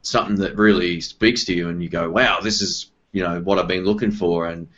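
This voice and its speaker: A man with a husky voice in casual chat about guitars. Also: low-pitched, steady tone, fairly quick, fairly bright tone, muffled recording.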